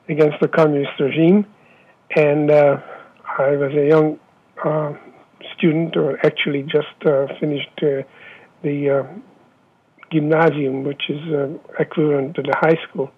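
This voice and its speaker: A man in his seventies with a Hungarian accent, pausing often with fillers as he answers interview questions over the phone. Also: fairly high pitch, flat delivery, breathy edge. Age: 70s. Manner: halting, many filler pauses. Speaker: interviewee, former Olympic fencer. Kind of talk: oral history interview. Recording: dull lo-fi phone line.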